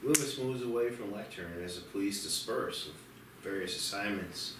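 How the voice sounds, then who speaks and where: A man's voice: lowish voice, normal speed, fairly bright tone, slight background noise. A man reading screenplay stage directions as the narrator of a table read. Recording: very echoey, distant mic, music behind. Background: music.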